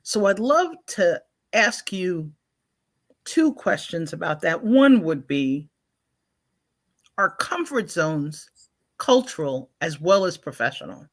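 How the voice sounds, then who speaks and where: A woman's voice: on the low side, very animated, takes it slowly. A woman hosting a live online talk show, greeting viewers and introducing her guest with effusive enthusiasm. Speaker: host.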